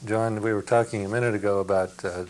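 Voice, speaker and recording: A man's voice: lowish voice, steady tone, fast talker, a little mellow, slight background noise, low-quality recording. An older man on a studio panel who speaks thoughtfully and measuredly, with small hesitations. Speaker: panel guest. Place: TV studio set, indoors. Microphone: lapel mic.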